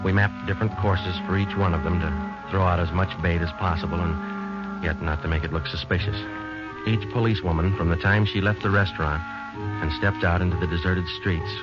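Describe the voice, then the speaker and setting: Brief: male actor as a weary radio-drama detective, low and matter-of-fact, on a dull vintage broadcast. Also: natural voice. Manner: clipped, matter-of-fact and terse. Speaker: detective and narrator. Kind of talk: 1940s radio drama acting. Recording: muffled old radio broadcast with music.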